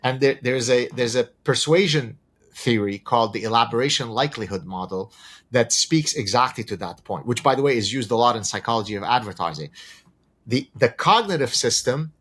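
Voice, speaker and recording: A man whose first language is Arabic, explaining ideas as a podcast guest in a deliberate, professorial way with rhetorical questions. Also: medium pitch, lively intonation, a bit bright, slightly rough. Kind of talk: conversational explanation with examples.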